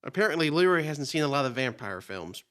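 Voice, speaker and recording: A man's voice: fairly high pitch, very animated, fast talker, fairly bright tone. An actor playing a sardonic vampire son who casually corrects his father in a campy horror comedy. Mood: wry, exasperated.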